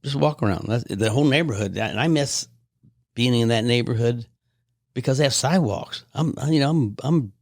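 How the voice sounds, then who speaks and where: Gravelly older man reminiscing. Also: medium pitch, normal speed, no room sound, clean studio sound. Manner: rambling storyteller, reminiscing. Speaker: podcast guest. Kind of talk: casual reminiscing chat.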